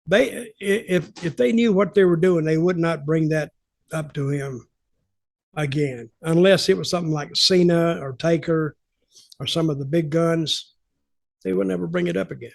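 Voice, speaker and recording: A man's voice: on the high side, creaky, darkish tone. An older man telling stories casually on a podcast, blunt and opinionated, repeating points for emphasis. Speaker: podcast host, veteran wrestling booker.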